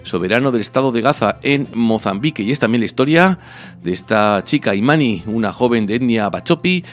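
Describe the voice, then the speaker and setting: A man presenting radio news and introducing a book reading in a measured, deliberate way. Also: mid-range voice, lively intonation, soft background music. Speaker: radio host.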